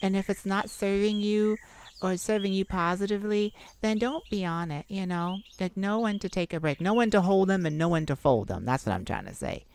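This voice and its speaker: A woman's voice: mid-range voice, a little fast, darkish tone, slight background noise. A woman hosting an improvised ASMR-style self-care podcast, talking in an informal, reassuring way. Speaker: podcast host.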